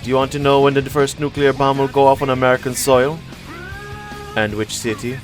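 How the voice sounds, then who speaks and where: A man's voice: mid-range voice, little pitch movement, a little fast, faint music behind, close to an everyday voice. Man putting on an eerie fake-Jamaican street psychic. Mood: menacing. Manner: smooth, unsettling, prophetic taunting. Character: mysterious male street psychic faking a Jamaican accent. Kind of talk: audiobook character voice.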